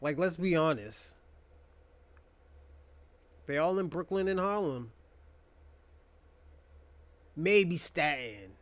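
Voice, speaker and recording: A young man with a notably high voice holds forth in a loose, rambling monologue. He jokes crudely and swears freely. The sound is dry and close, with no room echo. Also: lively intonation, slow, long pauses, fairly bright tone. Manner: profane, rambling, joking. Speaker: podcast host. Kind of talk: casual monologue.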